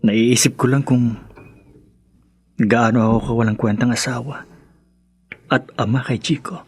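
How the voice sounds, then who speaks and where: A man's voice: medium pitch, fairly even intonation, a little slow, slightly rough, faint music behind. An actor playing a loving, remorseful husband and father in a Filipino radio drama, speaking earnestly and emotionally with a Filipino accent.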